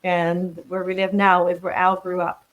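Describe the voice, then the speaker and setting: A woman in her sixties talks in a reflective, casual way. Her voice sits a little low. She pauses and restarts often. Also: monotone, lo-fi sound. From Netherlands. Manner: warm, reflective, with frequent ums and restarts. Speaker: guest. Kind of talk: casual interview conversation.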